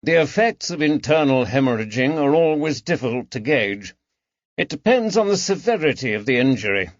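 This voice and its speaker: Man acting a sardonic gentleman surgeon, dry-sounding. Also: medium pitch, animated, normal speed, no room sound, highs cut off, pitched above the performer's own voice, lightly acted. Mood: brisk. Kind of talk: audiobook character dialogue.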